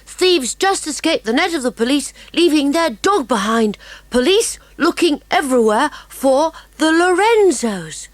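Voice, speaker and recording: A woman acts an eager little English schoolboy for a children's mystery audiobook. The voice is very high and bouncy in pitch, recorded dry and close. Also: very animated, a little slow, dry recording. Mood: excited. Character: excitable young schoolboy, keen on mysteries. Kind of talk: dramatised children's audiobook acting.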